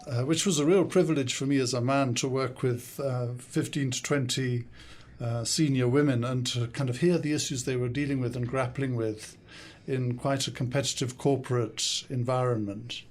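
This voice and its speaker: Measured older man with an English accent. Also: medium pitch, fairly even intonation. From South Africa, raised in the UK. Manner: thoughtful, measured, with frequent hesitations. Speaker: guest, consultant and coach. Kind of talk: podcast interview. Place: studio.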